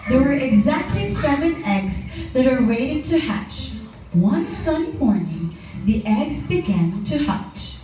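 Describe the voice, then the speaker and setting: A woman narrates a children's tale with animated, rising and falling pitch. Her scripted storytelling comes from far off in a very reverberant room, with music clearly playing behind her. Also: unhurried. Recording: very echoey room, distant mic, music.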